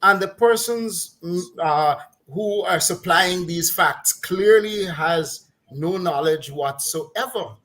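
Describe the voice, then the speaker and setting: Guyanese guy venting, fairly high voice, scoffing and laughing as he goes. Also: takes it slowly, hardly any pauses, a bit bright, poor audio. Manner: exasperated, mocking, laughs while ranting. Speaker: commentator on a talk program. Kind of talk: casual opinionated chat.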